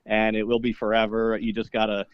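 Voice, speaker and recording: A man's voice: fairly low pitch, monotone, fairly quick, highs cut off, background noise. A young man whose first language is Polish, a priest and polka singer, talking casually as an interview guest with lots of fillers. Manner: easygoing, rambling, many fillers and restarts.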